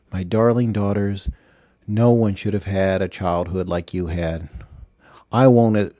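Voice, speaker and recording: A man playing a bitter, self-pitying middle-aged FBI agent who dictates confessions in a sardonic, deliberate way, in an audiobook. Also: fairly low pitch, warm tone, dry recording, studio quality, natural voice. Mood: remorseful. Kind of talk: dramatized audiobook monologue.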